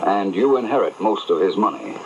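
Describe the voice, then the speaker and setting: Polished, assured sleuth, low voice, lively intonation, old radio mystery with noisy reception. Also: male voice, fairly quick. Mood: composed, probing. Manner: polished, composed, authoritative. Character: assured, probing gentleman male detective. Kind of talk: old-time radio detective drama acting. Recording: muffled, noisy vintage broadcast over AM radio.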